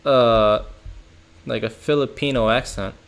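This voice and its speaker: A man's voice: mid-range voice, steady tone, unhurried. A young man streaming from home, chatting casually and rambling, full of "like" and "bro" and frequent laughs. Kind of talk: casual livestream chat reacting to chat.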